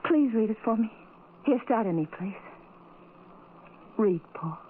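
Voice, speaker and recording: A woman's voice: on the high side, expressive, measured pace. An actress playing a tender, adoring young blind woman in an old-time radio drama, speaking earnestly and romantically. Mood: gentle, coaxing. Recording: tinny, noisy old radio recording.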